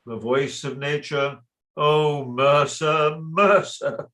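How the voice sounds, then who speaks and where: An actor playing a genteel, gently pompous lord in a theatrical comedy, courteous and ornate. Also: male voice, mid-range voice, animated, normal speed, slight background noise, natural voice. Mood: solemn, soothing. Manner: courteous, ornate, mock-solemn. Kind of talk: theatrical radio comedy acting.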